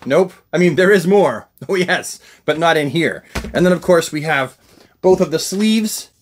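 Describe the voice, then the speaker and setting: A middle-aged man hosting a casual, enthusiastic unboxing vlog, talking in an animated, exclaiming way. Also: on the high side, a little slow. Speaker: unboxing review host. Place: small indoor room lined with shelves of collectibles.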